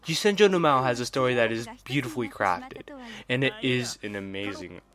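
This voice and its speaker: A man's voice: medium pitch, expressive, fairly quick. A man narrating a scripted video game review in an enthusiastic, opinionated way. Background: background music.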